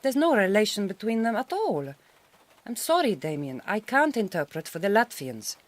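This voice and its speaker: Radio drama: actress as young Hungarian woman, farm worker, courteous, self-possessed, romantic streak, mid-pitched, Hungarian-accented English. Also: very animated, moderate pace, natural voice. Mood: polite. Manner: careful, formal phrasing.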